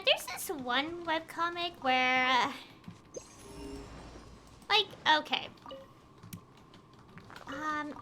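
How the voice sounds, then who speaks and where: A woman with a high, very lively voice rambles casually to her stream as she plays a game. She halts, restarts and circles back over her phrasing, her pitch swinging widely. There is clear noise behind her voice. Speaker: video game livestreamer. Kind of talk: casual rambling chat while gaming.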